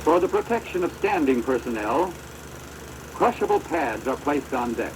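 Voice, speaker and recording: An American man giving formal, measured instructional narration for a training film, on a deteriorated old projector soundtrack. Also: mid-range voice, sing-song, background noise.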